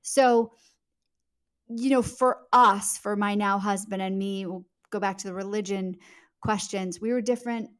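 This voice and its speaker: A woman's voice: medium pitch, steady tone, measured pace, clear, resonant tone. A woman speaking as a guest author, explaining in a conversational interview style, fluent and emphatic, addressing the listener directly.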